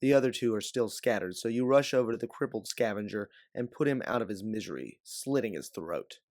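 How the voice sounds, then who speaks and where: A man reading scripted second-person story narration as an audiobook narrator, in a dramatic, deliberate way. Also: fairly low pitch, expressive, a little mellow, dry recording, clean studio sound.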